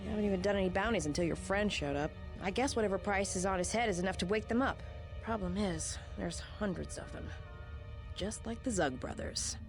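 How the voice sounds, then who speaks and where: A woman as a tough, fast-talking bounty hunter. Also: mid-range voice, lightly acted. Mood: wary, urgent. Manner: no-nonsense, blunt, guarded. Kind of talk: video game voice acting. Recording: music behind the voice.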